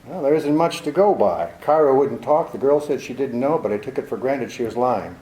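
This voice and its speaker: An actor playing a tough, sardonic private detective who talks bluntly in 1940s radio style. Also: male voice, mid-range voice, animated, brisk, warm tone, natural voice. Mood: hard, blunt. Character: tough hard-nosed male private detective. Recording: roomy echo.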